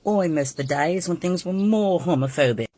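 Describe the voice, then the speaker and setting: A man's voice: fairly high pitch, moderate pace, mellow, dry recording. A man with an Irish accent ranting in an exasperated way in a social media clip.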